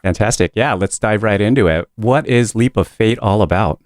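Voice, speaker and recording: A male podcast host with a low, smooth, resonant voice, asking questions in a measured way. The recording is dry and close. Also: no room sound. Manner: measured, hedging with "you know". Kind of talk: interview questions.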